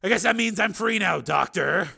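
A man voicing an embittered, volatile male cyborg in an audiobook, with an intense, forceful delivery. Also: medium pitch, huge pitch swings, unhurried, creaky, husky, exaggerated voice. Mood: bitter, cruel.

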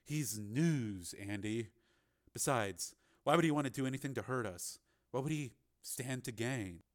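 A guarded female news reporter in an audiobook, voiced by a man, speaking quietly and firmly. The delivery is tense and restrained, with steady determination. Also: medium pitch, measured pace, natural voice. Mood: determined. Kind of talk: acted audiobook dialogue.

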